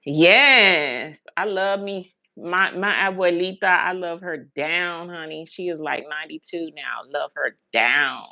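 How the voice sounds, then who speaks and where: A woman's voice: lowish voice, slow pace, creaky, hardly any pauses, pushed and bright, no echo, muffled recording. An American woman chatting casually on a livestream, telling rambling personal stories in a colloquial way and repeating words for emphasis. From Huntsville, Alabama and Brooklyn, New York, USA. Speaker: livestream host, hairstylist.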